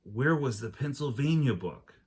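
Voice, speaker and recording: A man's voice: mid-range voice, lively intonation, moderate pace, darkish tone, husky, pitched above the performer's own voice. A worried, cautious boy from a children's storybook, read by a man, a little raised from the narration. He sounds anxious and full of protest.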